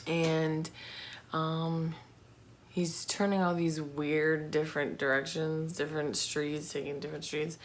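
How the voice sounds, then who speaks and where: A woman telling a true crime story in a casual, informal, slangy and halting way. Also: sits low, flat delivery. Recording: clear background noise.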